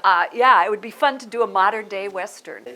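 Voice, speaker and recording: A woman's voice: medium pitch, brisk, pushed and bright, low-quality recording. A woman speaking informally in an interview as the artistic director of a theatre group.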